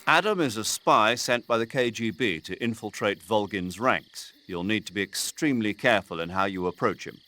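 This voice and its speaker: A man's voice: on the low side, expressive, normal speed, few pauses, sharp tone. A man playing a firm, earnest military commander briefing an agent in a video game. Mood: urgent, instructing. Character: firm, authoritative male military commander on radio.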